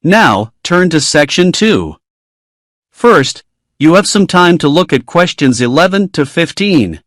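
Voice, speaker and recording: A man reading test instructions in a clear, measured way. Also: fairly high pitch, sing-song, fairly bright tone, no echo, studio quality. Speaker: exam announcer. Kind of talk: scripted instructions.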